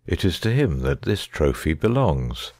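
A man playing a keen, analytical gentleman detective in a dramatic audiobook reading, precise, discursive and wry. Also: deep voice, very animated, a little slow, no echo, heavily acted. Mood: explaining.

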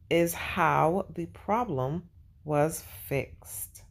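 A woman narrating a children's storybook and teaching young viewers in an animated, instructive way. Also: on the low side. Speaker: children's read-aloud host.

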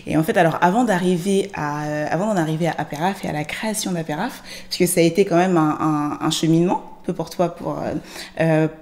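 Young woman, kinda low voice, asking questions on a podcast mic, lots of ums and rephrasing. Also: brisk, a little mellow. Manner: curious, hesitant questioning. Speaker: guest interviewer. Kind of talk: conversational interview. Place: studio set with plants and glass-block wall. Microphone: studio mic on stand.

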